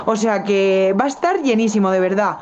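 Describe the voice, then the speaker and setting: A woman's voice: medium pitch, moderate pace, a little mellow, slight background noise, natural voice. A woman playing a chatty, encouraging small-town friend in a casual voice message. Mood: cheering on.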